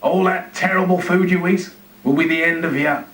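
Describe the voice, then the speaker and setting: A man's voice: high-pitched, steady tone, moderate pace, fairly bright tone, pitched above the performer's own voice. Man voicing a self-important storybook zookeeper, roomy distant sound. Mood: gloomy, self-pitying. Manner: dramatic muttering and exclaiming to himself. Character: gloomy, self-pitying, self-important male zookeeper. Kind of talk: children's storybook reading. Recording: roomy, distant TV speaker playback.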